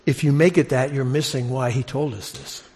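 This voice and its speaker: A man's voice: medium pitch, fairly even intonation, moderate pace, a little mellow. A man giving a conversational expository sermon as a preacher, posing questions to his listeners and repeating phrases for emphasis. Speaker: preacher teaching a congregation.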